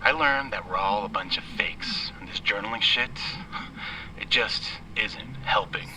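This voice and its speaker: Distant, noisy recorder diary of deep-voiced insomniac. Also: male voice, unhurried. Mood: disillusioned. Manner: deadpan, self-deprecating, rambling. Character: sleepless, self-pitying musician dictating an audio journal. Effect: played back from a field recorder. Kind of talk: spoken diary monologue.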